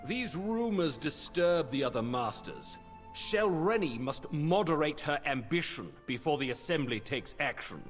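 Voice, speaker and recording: Fantasy envoy, higher male voice, formal, disapproving, long reverb, faint music. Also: animated, a little slow. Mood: admonishing. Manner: formal, admonishing. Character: disapproving male envoy warning of a rival's ambition. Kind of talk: scripted fantasy video game dialogue.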